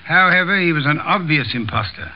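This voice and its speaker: An actor playing an imperious, eccentric genius private detective in an old radio mystery, speaking in a pompous, precise, wordy manner. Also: male voice, fairly high pitch, animated, fairly quick, lightly acted. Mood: explanatory. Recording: muffled old radio broadcast recording.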